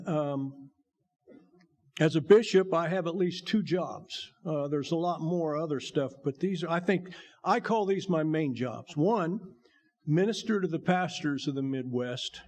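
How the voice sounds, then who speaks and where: Older man with a gravelly voice. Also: on the high side, a little mellow. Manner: folksy, joking, encouraging. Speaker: bishop giving testimony. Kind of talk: church testimony talk. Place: church sanctuary.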